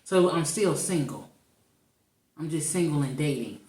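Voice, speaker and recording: A woman with a St. co-hosts a casual podcast conversation. She sounds set back from the microphone in an echoey room. Her speech is colloquial, and she works through her reasoning out loud. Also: sits low, expressive, unhurried, recorded from a distance. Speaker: podcast co-host.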